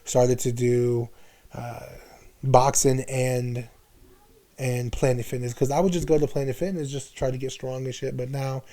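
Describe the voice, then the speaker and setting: A man's voice: medium pitch, little pitch movement, moderate pace, warm tone. This is a man in his twenties with a mid-range voice. He talks as a guest in a casual, candid conversation. His speech is slangy and freely sprinkled with swear words. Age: 20s. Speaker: podcast guest.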